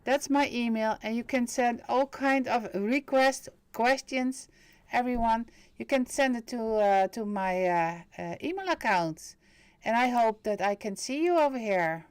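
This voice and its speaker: A middle-aged woman with a Dutch accent talks slowly and haltingly, filling pauses with ums and uhs and often restarting her sentences. Her voice is smooth and clear, and it sounds dry and close, with little room sound. She chats casually to the viewers of her live stream. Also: a little high, no echo. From Netherlands. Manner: hesitant, many fillers and restarts. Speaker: medium hosting a live stream.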